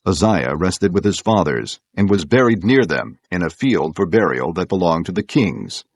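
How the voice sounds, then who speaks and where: A man with a deep, husky voice narrates scripture as solemn, measured storytelling. His intonation is richly varied. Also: animated, no room sound.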